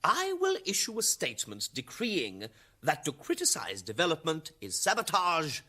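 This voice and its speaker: A man's voice: on the high side, wildly swooping pitch, moderate pace, bright, forceful tone, heavily acted. A man playing a smarmy, pompous colonel who serves as security minister in a satirical radio drama. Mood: authoritarian.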